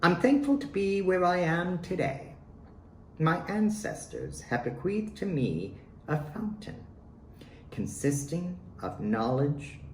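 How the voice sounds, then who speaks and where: Slow, expressive man reading poetry, roomy sound. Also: on the high side. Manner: deliberate, short declarative phrases with pauses. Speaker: poet reading own work. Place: at home in a residence. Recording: roomy home recording with faint noise.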